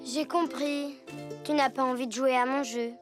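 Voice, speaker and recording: A performer voicing a playful little girl mouse in a French preschool cartoon, speaking in simple, expressive, childlike phrases that can turn easily put out. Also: sits high, moderate pace, music in the background, natural voice.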